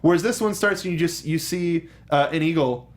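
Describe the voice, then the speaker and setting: A man with a somewhat high voice hosts a relaxed film review chat. He talks loosely and haltingly, circling back to fix his wording as he rambles. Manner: rambling, halting, self-correcting. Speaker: film discussion show host. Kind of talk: casual movie review chat.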